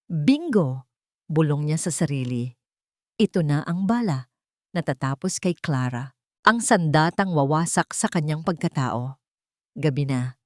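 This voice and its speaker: A woman's voice: low voice, darkish tone, dry recording, clean studio sound. A woman with a Filipino accent reading a scripted dramatic romance story as its narrator.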